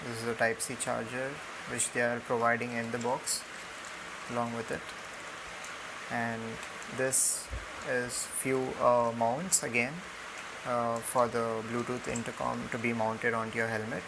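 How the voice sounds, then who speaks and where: A young Indian-accented man talks through a product in a casual, hesitant way, with lots of ums. His delivery is very even, with a creaky, fried edge to the voice. The recording is homemade, with clear steady hiss behind him. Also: mid-range voice, unhurried. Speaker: product reviewer. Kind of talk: casual unboxing and tutorial narration. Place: indoors on a room floor.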